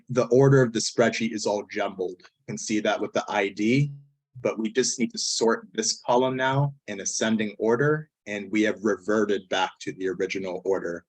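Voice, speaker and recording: A man with a hoarse, failing voice who often clears his throat, walking step by step through a statistics software tutorial over a screen recording. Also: lowish voice, poor audio. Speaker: statistics instructor. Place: indoors at a computer, voice-over on screen capture.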